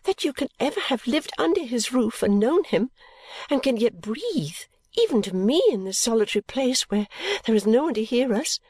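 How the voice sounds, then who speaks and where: Actress as gentle young woman, classic novel dialogue: sincere, pleading, mildly reproving, very dry recording. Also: on the high side, moderate pace, no room sound, natural voice. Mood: remonstrating.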